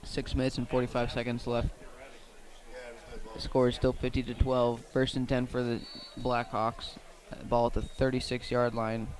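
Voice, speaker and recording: A man doing live radio play-by-play of a football game in measured, factual short phrases, speaking from outdoors at the field. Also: mid-range voice, monotone, darkish tone. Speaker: play-by-play commentator.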